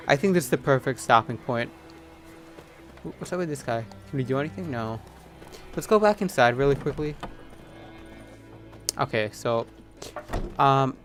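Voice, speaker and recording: Male game streamer, mid-pitched, casual running commentary, sometimes reading prompts, faint game music underneath. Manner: reads on-screen text aloud. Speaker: video game player and commentator.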